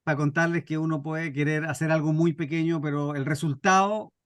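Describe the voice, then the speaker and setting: A man's voice: a little high, steady tone, normal speed, clear, resonant tone, dry recording, clean studio sound. A middle-aged Chilean man introducing himself to a group and telling anecdotes informally, with somewhat hesitant phrasing. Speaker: radio drama scriptwriter and workshop speaker.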